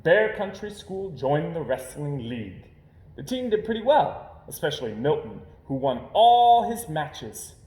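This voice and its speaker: A man reading a children's story aloud as storyteller, with expressive narration. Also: a little high, a little slow. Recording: roomy, echoing home recording.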